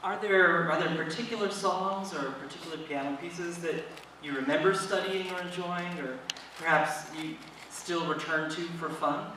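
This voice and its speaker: A man's voice: a little high, fairly even intonation, normal speed, sharp tone. A man interviewing a guest live on an auditorium stage, asking his questions carefully, recorded from a distance. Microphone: handheld mic heard from distant camera. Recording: echoey auditorium from far off, noisy.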